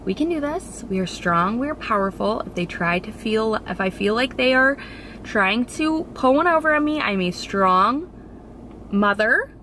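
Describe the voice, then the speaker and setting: A woman's voice: on the high side, lively intonation, normal speed, sharp tone. A woman in her thirties chatting to camera from a parked car, talking through her nerves and quoting the people around her in a self-deprecating way. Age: 30s. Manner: chatty, self-deprecating, quotes herself and others. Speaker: vlogger. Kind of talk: casual chat to camera. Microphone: camera on the dashboard. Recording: car interior with background noise.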